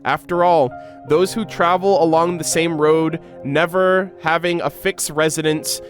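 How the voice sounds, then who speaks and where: A man with a fairly high voice talks as the host of a game reaction video. He moves between commentary and reading lore aloud, with music playing behind him. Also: fairly even intonation, unhurried.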